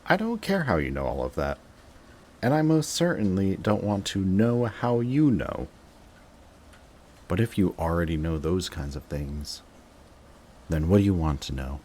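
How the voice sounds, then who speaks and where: A jaded supervillain, acted by a man, speaks in a deep, low voice. He needles his old rival with dry sarcasm and weary taunts, in the manner of a roleplay audio drama. Faint noise sits behind the voice. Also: expressive, unhurried, long pauses, a little mellow. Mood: annoyed, sarcastic.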